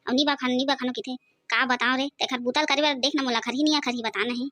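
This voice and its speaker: Cartoon village lad played by a voice actor, high voice, Chhattisgarhi accent, cheeky grumbler, some background noise. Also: fairly even intonation, fast, sharp tone. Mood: grumbling. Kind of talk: comedy cartoon dialogue.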